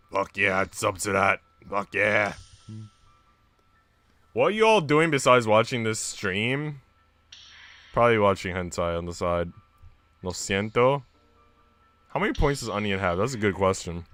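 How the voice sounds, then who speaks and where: Low, clear-voiced man streaming. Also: a bit bright. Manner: informal, slangy, responding to chat comments. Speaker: live streamer. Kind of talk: casual stream chat mixed with reading a visual novel aloud.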